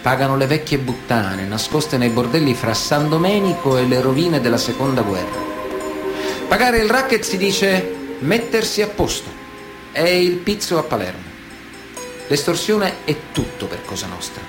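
An actor playing a matter-of-fact, chilling Sicilian man of honour in a radio theatre monologue, with a Sicilian accent and a deliberate, theatrical way of talking. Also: mid-range voice, sing-song, over music, close to an everyday voice. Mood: matter-of-fact, explanatory. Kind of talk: dramatic radio monologue, conversational storytelling.

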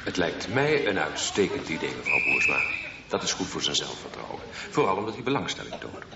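Very deep-voiced, persuasive adviser. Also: male voice, sing-song, a little fast, vocal fry, heavily acted. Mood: earnest, persuasive. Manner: formal, insistent, reasoning. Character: earnest, persuasive male adviser pressing anxious parents. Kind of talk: radio drama acting. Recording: muffled, echoey, very noisy, distant microphone.

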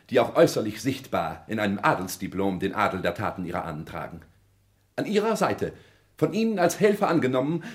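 Guy acting a pompous fawning social climber in old german radio play, formal speechy delivery, pitch swoops all over. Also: natural voice. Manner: declamatory, formal, oratorical. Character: ambitious bourgeois social climber, solemn, fawning and self-possessed.

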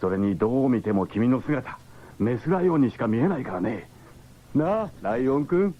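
An actor playing an earnest, emotive young East German escapee in a 1970s radio drama. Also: male voice, mid-range voice, big pitch swings, normal speed, close to an everyday voice. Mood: reassuring. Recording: dull noisy 1970s radio recording.

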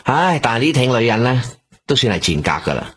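An actor playing a smooth-talking middleman who coaxes a woman on a boss's behalf in a radio drama. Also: medium pitch, sing-song, fast, fairly bright tone. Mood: coaxing. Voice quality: wheedling, persuasive. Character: smooth-talking middleman running errands for a boss. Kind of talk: Hong Kong radio drama acting.

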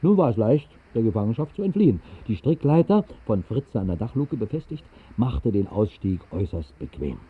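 A man's voice: on the low side, big pitch swings, fairly quick, hardly any pauses, breathy edge. An actor narrating a 1960s radio drama as a whimsical bohemian drinker, telling his tale in a wry, literary way. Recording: tinny band-limited 1960s radio recording.

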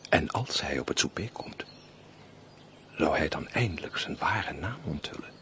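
An actor playing an eager, lovestruck army major in a radio drama, musing to himself in a breathy half-whisper. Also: male voice, extremely low pitch, lively intonation, moderate pace, a bit bright, slight background noise, exaggerated voice. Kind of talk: theatrical radio drama soliloquy.

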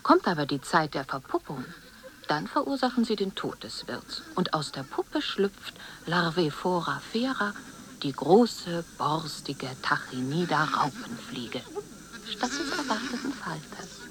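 A woman narrating a scripted literary text in a deliberate, dramatic, often breathy delivery. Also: on the low side, sing-song, fairly bright tone, slight background noise, low-quality recording.

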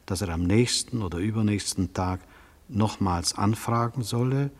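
In long hall reverb, a sceptical, worldly interrogator. The man's voice is lowish and a little husky, measured and dryly dismissive in classic radio drama style. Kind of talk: German radio drama acting.

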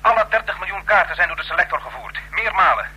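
Anxious junior officer, very raspy, highish voice, hesitant, vintage radio drama. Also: male voice, expressive, fast talker, sharp tone, full character voice, rough recording. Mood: nervous, deferential. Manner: hesitant, apologetic. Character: nervous, deferential subordinate reporting bad news. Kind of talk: old Dutch radio drama acting. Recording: old radio drama recording.

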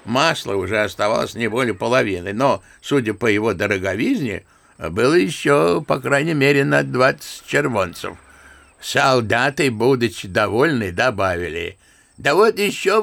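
An actor playing a genial elderly general in a radio play, sighing and reminiscing like an old-fashioned storyteller. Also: male voice, medium pitch, moderate pace, creaky, a bit bright. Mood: amused, animated.

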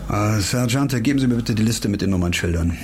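An actor playing a driven, blunt male investigator in a radio crime drama, giving orders gruffly and impatiently. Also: on the low side, a little fast, darkish tone, soft background music, lightly acted. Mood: measured, instructing. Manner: commanding, blunt.

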